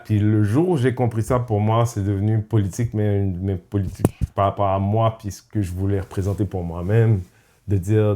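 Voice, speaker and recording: A young man and comedian, talking as a podcast host in a casual chat, reflective and halting, with many restarts and English phrases mixed in. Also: on the low side, dark tone.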